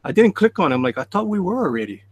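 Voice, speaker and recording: A man's voice: high voice, big pitch swings, racing, breathy edge, dry recording. A man chatting playfully on a call. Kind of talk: casual group chat.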